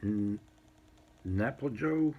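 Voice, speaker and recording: A man casually showing a collection on an unscripted video, reading items out in short, halting phrases with muttered asides. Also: on the low side, expressive, husky, phone-quality sound. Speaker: collector showing vintage baseball cards. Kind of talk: casual unscripted show-and-tell, reading out cards.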